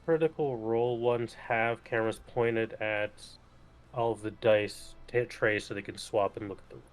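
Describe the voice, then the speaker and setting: A man's voice: fairly low pitch, fairly even intonation, moderate pace. Man, co-host, dry blunt comments, casual talk. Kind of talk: casual chat.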